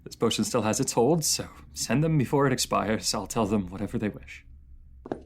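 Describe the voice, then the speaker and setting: A man's voice: on the low side, animated, fairly quick, slight background noise. A man playing an anguished, proud enemy ruler in a fantasy audio roleplay, speaking in formal, theatrical phrases that halt with emotion. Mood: resigned, bitter. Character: captive enemy ruler, anguished, proud, lovesick, under truth potion.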